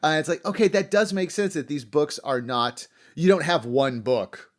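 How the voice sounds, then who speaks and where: A man's voice: a little high, normal speed. A man hosting an interview in a casual, rambling way, thinking aloud and acting out little quotes. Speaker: podcast host.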